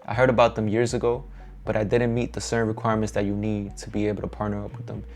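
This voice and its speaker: A young man with a Dominican accent giving a relaxed, upbeat tour of his home music studio, encouraging and slangy. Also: fairly low pitch, quick pace. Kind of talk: casual vlog walkthrough.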